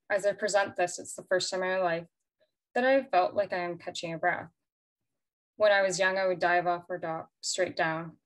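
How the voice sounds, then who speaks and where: A woman artist reading her prepared statement slowly and carefully over a video call. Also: fairly low pitch, low-quality recording. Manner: slow, measured reading. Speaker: exhibiting artist.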